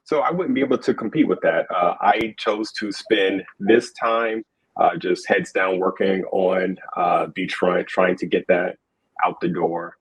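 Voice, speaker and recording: A man with a husky voice talking casually as a panelist. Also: deep voice, fairly even intonation, measured pace, rough recording. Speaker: conference panelist.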